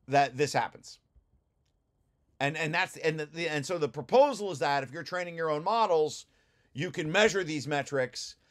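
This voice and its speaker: A man giving an informal technical explanation as a presenter, often restarting and repeating his phrases. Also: on the high side. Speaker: presenter of a research paper, study group leader. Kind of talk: informal technical explanation over shared slides.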